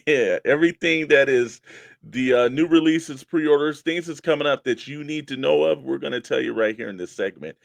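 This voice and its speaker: A man's voice: on the high side, moderate pace, gravelly, no room sound. A man co-hosting a casual talk show about a hobby, speaking in an informal, rambling way. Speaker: show co-host. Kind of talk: casual chat about toy collecting.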